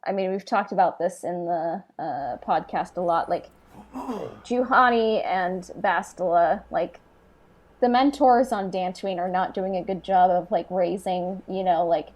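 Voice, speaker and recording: A woman's voice: on the low side, unhurried, darkish tone. A woman co-hosting a casual podcast discussion, informal and thinking aloud in fragments. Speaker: podcast co-host.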